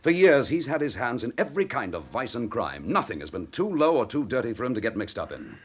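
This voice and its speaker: An actor playing a determined police chief inspector in a radio crime drama, forceful, clipped and impatient. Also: male voice, mid-range voice, very animated, brisk, husky. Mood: grim, intense.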